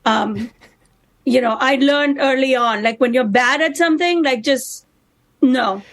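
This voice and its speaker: A middle-aged woman from India with an Indian accent, a comedian guest joining a radio talk show by video call and telling self-deprecating jokes in a punchy, deadpan way. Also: high-pitched, unhurried.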